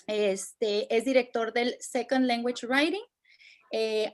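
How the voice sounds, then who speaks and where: A woman with a fairly high voice hosts a university session. She introduces the guest in a formal, friendly way. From Mexico. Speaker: event host introducing the speaker.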